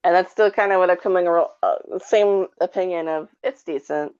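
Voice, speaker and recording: A woman with a notably low voice chats casually as a podcast guest. She phrases things haltingly and with some hesitation. The sound is dull and lo-fi. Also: a little mellow, smooth. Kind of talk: casual chat. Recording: dull lo-fi call audio.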